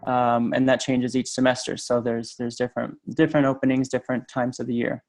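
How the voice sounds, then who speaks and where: A man from the program team giving a webinar talk, pausing often with ums and restarting his sentences. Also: mid-range voice, flat delivery, a little mellow, poor audio. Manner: hesitant, many ums and restarts. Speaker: program staff presenter. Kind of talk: webinar presentation.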